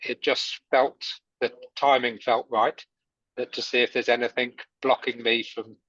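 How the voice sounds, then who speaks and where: A man's voice: mid-range voice, level tone, unhurried, a bit bright, slightly rough, no echo. Man on a dull video call line. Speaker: participant. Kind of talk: casual chat.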